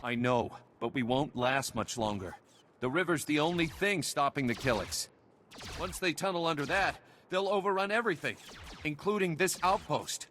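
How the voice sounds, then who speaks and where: A man's voice: fairly high pitch, moderate pace, a bit bright, a little hiss or hum, natural voice. Man playing an earnest, embattled army sergeant. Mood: grim. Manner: earnest, soldierly. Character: embattled, loyal male army sergeant clinging to hope. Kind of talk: scripted video game dialogue.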